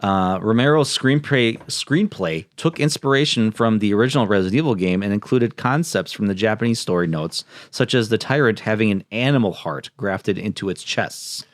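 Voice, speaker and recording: Low-pitched man, casual podcast host. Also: lively intonation, moderate pace, hardly any pauses, dry recording, studio quality. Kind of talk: casual chat mixed with reading notes.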